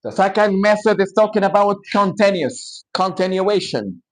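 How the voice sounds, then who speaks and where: A man with an Egyptian accent, a native Arabic speaker, teaching English grammar in a live class. His voice sits high for a man, and he talks slowly and plainly, spelling out letters and rules for his students. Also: animated. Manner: slow, explanatory, switches into Arabic to explain.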